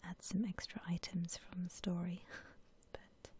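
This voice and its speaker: A woman whispers her way through a game in ASMR style. Her voice is very breathy and almost unvoiced, soft and mellow in tone. She takes her time, relaxed and gentle, with slow phrasing. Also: on the low side. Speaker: video game streamer narrating her building session.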